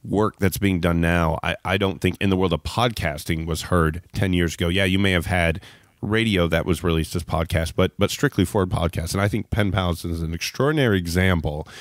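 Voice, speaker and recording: A man's voice: sits low, a little fast, dry recording, studio quality. A man hosting an interview podcast, talking effusively and wordily in circling phrases. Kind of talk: enthusiastic interview talk.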